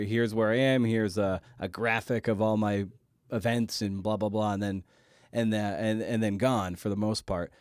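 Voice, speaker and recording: A man's voice: on the low side, a little mellow, clean tone, no echo. Man interviewing casually, dry close sound. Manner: halting, feels way through questions with repeated words. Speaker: podcast host, interviewer.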